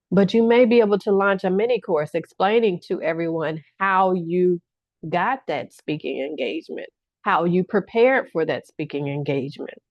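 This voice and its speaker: A woman's voice: medium pitch, no echo. A middle-aged woman business coach giving a workshop-style talk to viewers, encouraging and repeating phrases for emphasis. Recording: dull lo-fi, dry sound.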